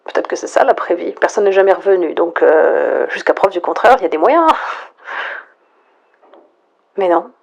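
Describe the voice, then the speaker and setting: A woman playing a lonely, frightened last survivor, murmuring a halting, introspective audio log in a breathy, husky near-whisper. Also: lowish voice, lively intonation, darkish tone. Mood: searching, pleading. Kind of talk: hushed monologue, analog horror audio log.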